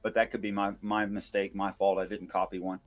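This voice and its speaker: A man giving informal scripture commentary and reading verses aloud in a measured, explanatory way. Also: lowish voice, flat delivery, faint music behind. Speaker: Bible discussion co-host.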